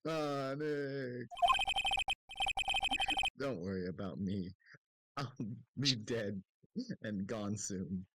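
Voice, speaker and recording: Man voicing a slow-talking, melodramatic young slacker. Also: on the low side, slight background noise. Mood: wailing, despairing. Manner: halting, stammering. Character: melodramatic, mopey, lovelorn young male slacker friend. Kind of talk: fan dub of a courtroom video game. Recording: loud music behind the voice.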